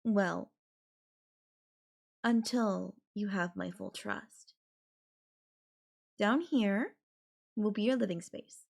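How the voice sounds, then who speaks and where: A woman voice actor playing an obsessive, possessive girlfriend in an intimate audio roleplay, with a coy, casual, drawn-out way of talking. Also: mid-range voice, creaky, darkish tone, exaggerated voice. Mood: smug, sweet. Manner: coy, drawn-out, casual filler-laden phrasing with giggles and sighs. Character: obsessive, possessive yandere girlfriend, playfully menacing kidnapper.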